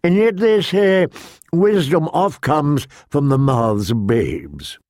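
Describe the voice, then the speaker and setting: A man voicing a sly courtier in an audiobook, with a drawn-out, honeyed delivery. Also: fairly high pitch, lively intonation, darkish tone, no echo, studio quality. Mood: silky.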